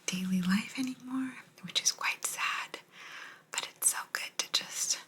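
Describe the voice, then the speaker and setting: A woman giving a language lesson in a soft, breathy ASMR whisper, gently repeating words. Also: mid-range voice, a little hiss or hum. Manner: slow, gentle repetition of words. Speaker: host teaching Italian vocabulary.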